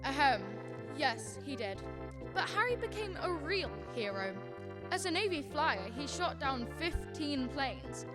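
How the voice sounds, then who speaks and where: A woman's voice: high voice, sing-song, a little slow, loud music behind the voice. A young woman playing a patient, authoritative senior angel telling a story in a student radio drama. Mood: storytelling. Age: young adult.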